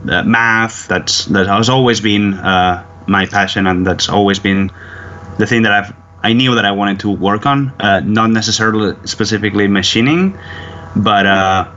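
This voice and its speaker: Young guy from around barcelona, slow careful answers, muffled line, slight hiss. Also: on the low side, steady tone, pushed and bright. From Spain, near Barcelona. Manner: careful, many "uh" pauses. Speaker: plant manager, guest. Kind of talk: interview answers. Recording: dull lo-fi line with faint noise.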